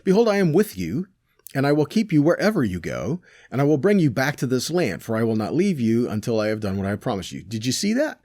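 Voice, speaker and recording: A man teaching scripture in a conversational tone, his pitch rising and falling animatedly as he reads verses and then paraphrases them. He asks rhetorical questions and checks in with "right?" often. The recording is dry and close, with no room sound. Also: very animated, quick pace, a little mellow, dry recording. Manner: rhetorical questions, self-corrections. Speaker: teacher of a weekly Torah portion.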